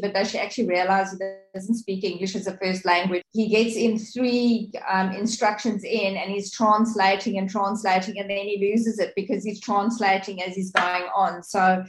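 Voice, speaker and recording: A woman's voice: medium pitch, echoey room, poor audio. A woman podcast host whose first language is Afrikaans, chatty and encouraging as she interviews a guest.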